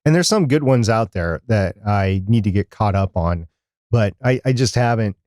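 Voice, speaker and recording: A man's voice: lowish voice, expressive, normal speed, a little mellow, no room sound, clean studio sound. A man speaking as a podcast co-host in a casual, conversational chat.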